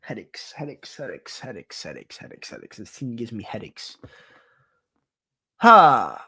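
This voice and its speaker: A man's voice: mid-range voice, sing-song, measured pace, pushed and bright, breathy edge. A young man hosting his own sports talk show, venting in a casual, exasperated rant full of repeats and swearing.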